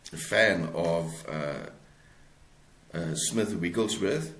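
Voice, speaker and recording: A man in his forties with a South African accent, talking reflectively as an interview guest in a hesitant, halting way. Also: low voice, a bit bright, reverberant. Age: 40s. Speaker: guest author.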